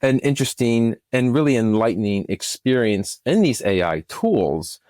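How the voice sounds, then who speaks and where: A man's voice: medium pitch, animated, moderate pace, darkish tone, no room sound, clean studio sound. A man speaking as a producer and podcast guest, answering informally and with enthusiasm, often circling back mid-thought. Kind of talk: casual interview answers.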